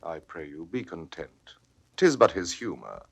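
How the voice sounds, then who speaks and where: An actor playing a scheming soldier who feigns kindly concern, in a soothing, insinuating classical Shakespearean stage style. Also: male voice, low-pitched, wildly swooping pitch, unhurried, creaky, slightly rough, full character voice. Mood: reassuring.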